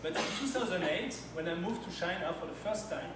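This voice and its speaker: A man's voice: high voice, expressive, a little fast. French man telling stories in an echoey hall. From France. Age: 30s. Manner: storytelling, personal anecdotes, non-native phrasing. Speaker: conference speaker. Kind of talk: timed slide presentation. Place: event hall at a launch party. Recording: very echoey hall, distant mic, noisy.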